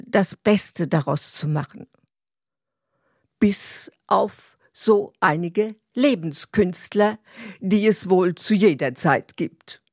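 A woman narrating a scripted story introduction in a measured, deliberate storyteller's manner. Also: medium pitch, darkish tone, dry recording, clean studio sound.

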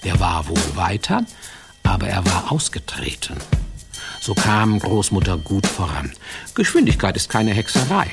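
A man narrating a fairy-tale radio play in a wry, playful storytelling manner with drawn-out emphasis. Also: deep voice, very animated, gravelly, over music.

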